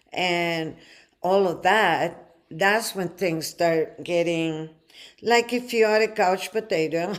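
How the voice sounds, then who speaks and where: A woman from Chile, co-hosting a casual podcast as a life coach, reflective with soft laughs. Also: fairly low pitch.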